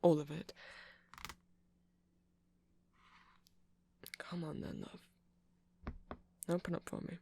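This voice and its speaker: A man's voice: a little high, very animated, normal speed, gravelly, warm tone, a little hiss or hum. A man playing a nerdy, awkward, affectionate vampire, rambling hesitantly in an ASMR roleplay. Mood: flirtatious, teasing.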